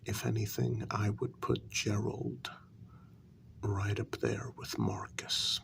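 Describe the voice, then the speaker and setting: A man voicing a measured, insinuating vampire who serves his queen, in a soft-spoken, mostly whispered ASMR role-play with deliberate, drawn-out pauses. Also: on the low side, flat delivery, creaky, heavily acted. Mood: quietly insinuating.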